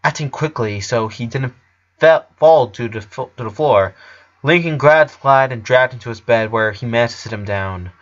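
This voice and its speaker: A man's voice: mid-range voice, normal speed, lo-fi sound. A man reading a story aloud as a dramatic narrator. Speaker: fan fiction reader. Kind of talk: dramatic audiobook narration with character voices.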